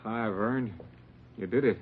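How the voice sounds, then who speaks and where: An actor playing an uneasy, doubtful American prisoner with a husky voice, in a 1940s radio crime drama. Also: male voice, on the low side, unhurried, a little hiss or hum, close to an everyday voice. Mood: alarmed, doubtful. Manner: doubtful, questioning. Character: uneasy, doubtful male prisoner, bomber's brother. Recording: tinny, band-limited 1940s radio recording.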